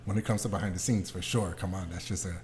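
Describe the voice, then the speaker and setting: A man's voice: low-pitched, steady tone, fast talker, darkish tone. Casual, slangy man hosting. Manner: informal, slangy, swears. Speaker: podcast host. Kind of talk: casual chat with a guest.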